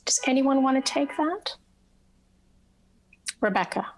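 A woman moderating a virtual panel over a video call, speaking haltingly with many ums and restarts. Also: high voice, animated, poor audio. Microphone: webcam on video call.

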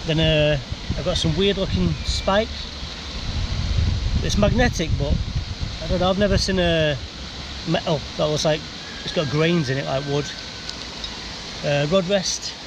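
Excited young man vlogging outdoors. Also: fairly high pitch. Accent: Northern English. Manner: excited, laughing, many fillers. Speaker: magnet fishing vlogger. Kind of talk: casual outdoor commentary. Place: outdoors by a canal under a bridge. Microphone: action camera. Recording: outdoor camera audio with background noise.

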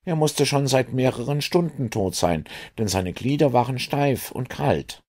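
A man reading an audiobook, voicing an agitated police inspector in a husky, animated voice. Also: medium pitch, a little slow, pitched above the performer's own voice. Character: gruff, agitated middle-aged male police inspector.

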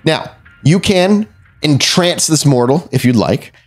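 A man's voice: fairly high pitch, animated, unhurried. A man narrating a tabletop horror game as storyteller, in a casual, conversational style. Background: faint music.

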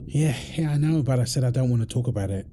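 A man's voice: medium pitch, animated, quick pace, close to an everyday voice. Man playing a worn-out husband over background noise. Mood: weary, defensive. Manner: weary sighs, snappy, then apologetic. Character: tired, irritable husband, firefighter home from work. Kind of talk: intimate roleplay audio drama.

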